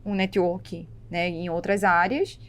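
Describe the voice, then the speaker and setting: A woman's voice: on the low side, little pitch movement, slow pace. A woman hosting a business podcast, asking long probing questions while thinking them through aloud. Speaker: podcast host.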